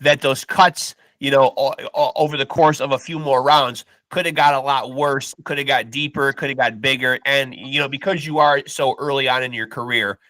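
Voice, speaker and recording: A man's voice: mid-range voice, fairly even intonation, normal speed, few pauses, bright, forceful tone, dry recording. A man hosting a boxing podcast, asking questions in a laid-back way, stammering on restarts and calling his guest "man.". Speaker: podcast host. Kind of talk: casual interview.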